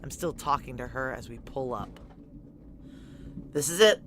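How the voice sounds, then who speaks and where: A woman's voice: extremely low pitch, unhurried, fairly bright tone, a little hiss or hum. A woman playing a guarded, brooding woman on the run who narrates a noir audio drama in a terse, wry, confiding way.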